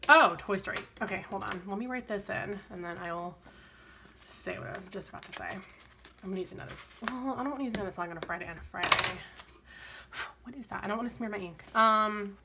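A woman, chatting casually while planning. Her voice is strained and crackly, sometimes dropping deep, and she rambles between soft asides. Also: fairly quick. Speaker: planner vlogger. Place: home desk, camera overhead on planner.

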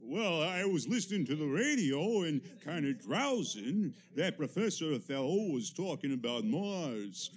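A man's voice: on the high side, sing-song, unhurried, hardly any pauses. An actor playing a folksy, rambling, hesitant male farmer in a 1930s-style radio drama. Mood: homely, rambling. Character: rambling, slow-witted, homely male farmer.

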